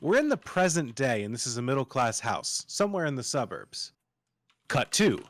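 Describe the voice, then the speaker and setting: A man's voice: medium pitch, very animated, normal speed, no echo. A man narrating, reading screenplay stage directions aloud as part of a table read.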